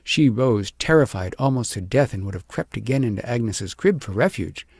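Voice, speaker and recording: Man, audiobook narrator, expressive story reading, lively swooping intonation, heavy vocal fry, close dry sound. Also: medium pitch, moderate pace, dry recording.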